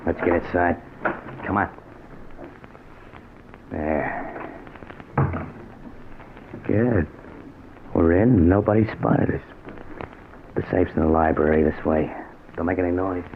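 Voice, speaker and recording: Crime radio play: pushy small-time burglar, low and gruff, slangy, on a muffled vintage recording with heavy noise. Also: male voice, slow, pauses often. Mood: hushed, impatient. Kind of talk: old-time radio crime drama acting.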